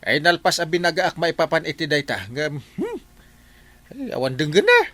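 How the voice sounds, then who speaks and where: A man playing a comical, excitable village captain with an exclamatory manner in an Ilocano comedy radio drama, with an Ilocano accent. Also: a little high, normal speed. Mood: sympathetic.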